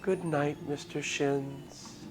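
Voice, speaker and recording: A sweetly eerie young woman , acted out by a man, from a visual novel game. The voice is lifted and soft, pleading and coaxing like a cult recruiter, with music underneath. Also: on the high side, flat delivery, takes it slowly, voice pushed up, low-quality recording. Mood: eerily soothing.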